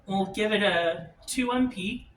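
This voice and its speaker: Male game master, casual rules talk, high voice, roomy distant recording with faint noise. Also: a little slow, fairly bright tone. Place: indoor room, group game table.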